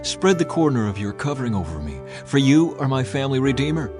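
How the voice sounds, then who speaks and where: A man's voice: medium pitch, sing-song, fast, music in the background, lightly acted. A man voicing a loyal, humble, obedient young widow who speaks plainly and respectfully in a Bible story. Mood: humble, earnest.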